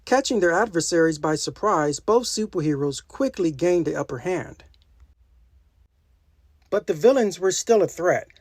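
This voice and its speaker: A woman reading a children's adventure story aloud as scripted narration, with a dramatic, expressive delivery. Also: lowish voice, moderate pace, husky, no room sound, clean studio sound. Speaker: storybook reader.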